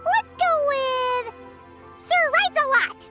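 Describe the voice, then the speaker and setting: A woman's voice: extremely high pitch, slow pace, soft background music, heavily acted. A woman voicing a tiny, chirpy female companion who teases and talks about herself in the third person. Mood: teasing. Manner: excitable, teasing, refers to herself by name. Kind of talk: video game voice acting.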